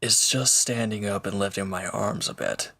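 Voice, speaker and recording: A man's voice: lowish voice, moderate pace, vocal fry, pushed and bright, full character voice. A man playing a sardonic, teasing reformed villain in an intimate one-sided roleplay audio drama, with dry, casual delivery. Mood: reassuring.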